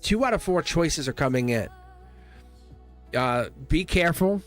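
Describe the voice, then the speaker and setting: A man's voice: fairly high pitch, lively intonation, unhurried, soft background music. Chatty, laughing male tarot streamer. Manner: chatty, laughs often, teasing. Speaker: tarot reader. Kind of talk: live-stream tarot reading.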